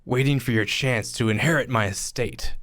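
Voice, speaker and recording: A man playing a bitter, menacing old uncle on his deathbed in a radio play, halting and theatrical, with coughs and sighs. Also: medium pitch, fairly bright tone, no echo, studio quality, close to an everyday voice. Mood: bitter accusation. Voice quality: coughing, breathy sighs and laughs.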